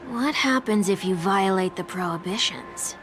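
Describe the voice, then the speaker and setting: A woman's voice: medium pitch, normal speed, a bit bright, slight background noise, natural voice. An actress playing a confident, teasing woman in a video game, with a cool, playful and assured delivery. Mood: coolly inquisitive. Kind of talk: scripted video game voice acting.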